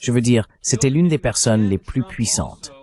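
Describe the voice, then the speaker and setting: A man's voice: medium pitch, animated, moderate pace, dark tone, lightly acted. A man voicing a French translation of a political analyst's explanatory interview commentary.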